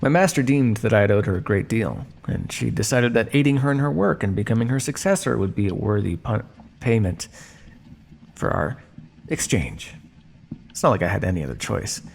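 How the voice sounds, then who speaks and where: A man playing a condescending, coolly menacing vampire scientist in an ASMR roleplay, speaking to his captive in a measured, teasing way. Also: on the low side, animated, creaky, slight background noise. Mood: matter-of-fact, cool. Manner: smug, measured, teasing, theatrical. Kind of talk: ASMR roleplay monologue addressed to listener.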